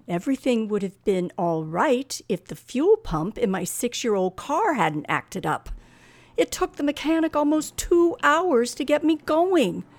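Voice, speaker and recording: A woman's voice: a little high, heavily acted. An actress playing a nervous young woman who tells her own tale of driving alone at night in an old radio thriller. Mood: uneasy. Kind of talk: old-time radio thriller acting, first-person narration. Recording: noisy old radio drama recording.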